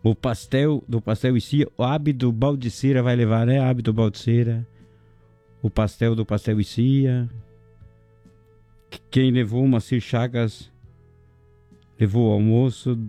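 A chatty man hosting a local radio show. His voice is dark and mellow, with soft music playing faintly behind him. He jokes around and rattles off shout-outs to listeners and sponsors. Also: medium pitch, fairly even intonation, a little slow, pauses often, no echo. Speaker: radio host. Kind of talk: casual local radio chat.

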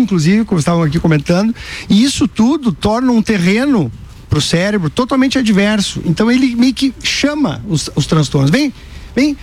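A middle-aged man with a southern Brazilian gaúcho accent, explaining things conversationally as an expert interview guest. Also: high voice. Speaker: guest neurologist and author.

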